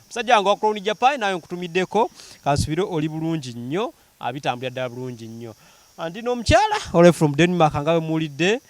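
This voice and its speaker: A man's voice: on the high side, expressive, dry recording. A man with a Ugandan accent, hosting a casual, teasing interview chat. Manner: playful, teasing, quick to laugh.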